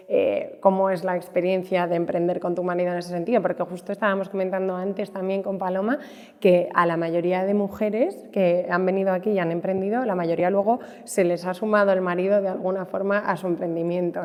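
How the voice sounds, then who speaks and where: Woman, Spain accent, lowish pitch, podcast host, casual interview style, long room reverb. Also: muffled recording.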